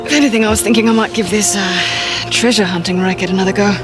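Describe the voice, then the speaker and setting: Woman as a brisk, blunt mercenary. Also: mid-range voice, steady tone, lightly acted. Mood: dry. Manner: blunt, dry. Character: tough, blunt female mercenary. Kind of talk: video game cutscene acting. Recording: music behind the dialogue.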